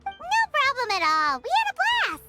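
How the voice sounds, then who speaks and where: A woman voicing a tiny excitable female fairy companion in a video game, bubbly and exclamatory. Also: very high-pitched, a little slow, faint music behind, exaggerated voice. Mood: eager. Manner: bubbly, exclamatory, talks about herself in third person.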